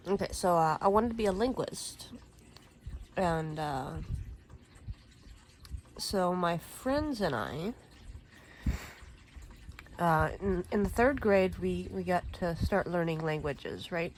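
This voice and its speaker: A woman in her thirties, a native Swedish speaker, chatting casually while crafting at home, rambling with lots of fillers and throat clearing. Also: lowish voice, animated, slow pace, long pauses, a little hiss or hum, low-quality recording. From Sweden. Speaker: crafting vlogger.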